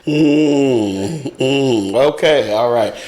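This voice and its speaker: A man's voice: mid-range voice, drawn-out words, darkish tone. A man speaking as a guest in a casual panel discussion, hesitant and musing, posing questions to himself.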